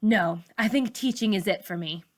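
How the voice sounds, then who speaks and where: A woman voicing a composed, businesslike female teacher of forty from a storybook, measured and matter-of-fact. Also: mid-range voice, natural voice. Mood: frank.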